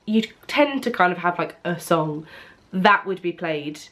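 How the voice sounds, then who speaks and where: Young British woman, congested with a cold, chatty and rambling, slightly low voice for a woman. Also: expressive, unhurried, a bit bright. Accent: British English. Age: young adult. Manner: chatty, rambling, many ums. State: blocked nose from a cold. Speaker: vlogger. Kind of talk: casual chat to camera. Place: at home, indoors. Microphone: camera propped on an ironing board.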